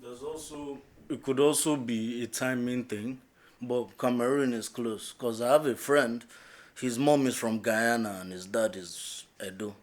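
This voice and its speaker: A Nigerian man co-hosting a casual podcast chat, speaking haltingly with many restarts. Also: medium pitch, steady tone. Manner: hesitant, restarts often. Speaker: podcast co-host.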